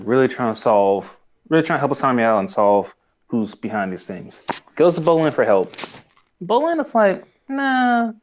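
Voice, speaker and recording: A male podcast co-host talks casually with a middle-pitched voice. He slips into little acted-out exchanges as he recaps a show. The sound is somewhat dull and lo-fi. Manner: acts out imagined dialogue. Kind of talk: casual chat reviewing a cartoon.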